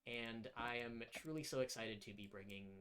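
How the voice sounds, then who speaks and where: A man's voice: medium pitch, normal speed, bright, forceful tone. A man who is the dungeon master, thanking viewers and plugging his work in a casual, upbeat outro after a tabletop game session. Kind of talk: casual post-game chat and stream outro.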